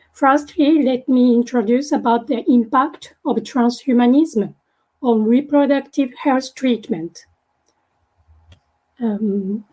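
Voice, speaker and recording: Slow-speaking Japanese woman, mellow voice. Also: a little high, slightly rough, poor audio. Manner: halting, searching for words. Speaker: guest speaker, graduate student. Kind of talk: informal academic presentation. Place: online video meeting.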